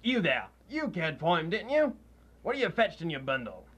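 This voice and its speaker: Man as a high-voiced sly pawnbroker. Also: huge pitch swings, normal speed, a bit bright, exaggerated voice. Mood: sly, nosy. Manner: wheedling, rough colloquial talk. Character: sly, greedy male pawnbroker, wheedling and mocking. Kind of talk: radio drama acting.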